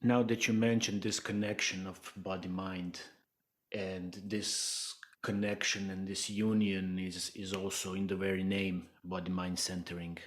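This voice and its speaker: Deep-voiced male podcast host. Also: flat delivery, a little slow. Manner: curious, probing questions with short backchannels. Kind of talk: interviewing in a podcast.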